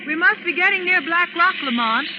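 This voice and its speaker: A very high-pitched, earnest young-adventure heroine, loyal but anxious and full of questions. It is vintage radio acting, dull in sound under loud noise. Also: female voice, expressive, brisk. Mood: anxious, watchful. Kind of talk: 1940s radio drama acting. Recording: muffled, very noisy vintage radio recording.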